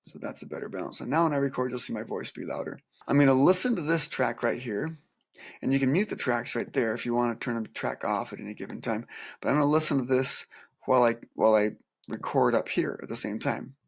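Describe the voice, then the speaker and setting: A man with a soft, gravelly voice that has a husky edge. He explains a music software setup calmly, one step at a time, like a teacher walking students through it. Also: mid-range voice, fast talker. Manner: calm step-by-step explaining, counting aloud. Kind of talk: screen-recorded software tutorial.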